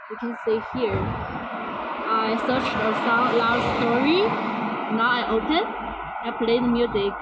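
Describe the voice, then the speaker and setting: A woman giving a product demonstration outdoors near a road, in halting, simple phrasing with many pauses. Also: fairly high pitch. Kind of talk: product demo walkthrough. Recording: loud traffic noise outdoors.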